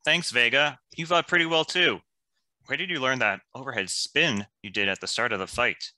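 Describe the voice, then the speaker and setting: An actor playing a playful, joking nineteen-year-old goliath ranger in an animated fantasy table read. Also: male voice, mid-range voice, normal speed, dry recording. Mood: friendly.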